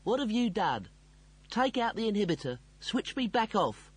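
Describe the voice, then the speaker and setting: Storybook ship computer, male reader: exasperated, sarcastic male machine intelligence, pitched high, loud and ranting. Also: big pitch swings, moderate pace, husky, dry recording, full character voice. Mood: frantic ranting. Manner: clipped, sarcastic, loud outbursts.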